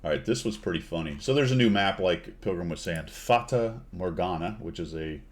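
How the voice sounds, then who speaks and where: A man's voice: low voice, lively intonation, fairly quick. A man hosting a casual gaming podcast chat, reading patch notes aloud and laughing at them in disbelief. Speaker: podcast host.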